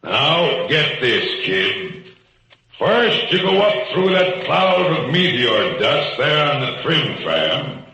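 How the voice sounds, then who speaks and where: An actor voicing a huge, gruff, slangy giant with a blustering, drawn-out delivery in a 1940s radio play. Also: male voice, mid-range voice, very animated, sharp tone, faint music behind, exaggerated voice. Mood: bargaining. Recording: echoing old radio production.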